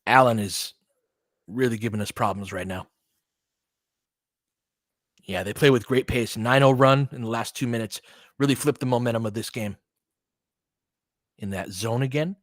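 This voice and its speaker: A man's voice: medium pitch, normal speed, pauses often, no echo, studio quality. A man doing live basketball play-by-play in short clipped phrases, with casual, partisan asides like a fan commentator.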